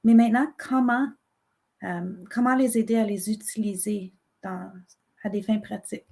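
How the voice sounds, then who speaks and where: A woman's voice: mid-range voice, steady tone, normal speed, warm tone. Woman moderator, polite and orderly. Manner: polite, restating questions clearly. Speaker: webinar moderator, program director. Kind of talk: moderating a panel.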